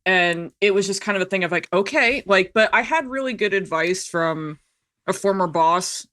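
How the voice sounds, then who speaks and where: Bright-voiced American woman, chatty and candid. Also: medium pitch, normal speed. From United States. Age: 30s. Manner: candid, chatty, emphatic, self-quoting. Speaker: podcast guest, filmmaker. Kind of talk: casual personal storytelling in conversation.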